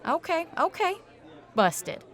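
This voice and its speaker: A woman's voice: very high voice, big pitch swings, slow, a faint crowd, exaggerated voice. A woman playing a hard-drinking, defiant private eye in a noir audio drama, with a sardonic, clipped, tough delivery. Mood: sardonic, flippant. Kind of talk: noir radio drama acting, monologue and dialogue. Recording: music bed behind voice.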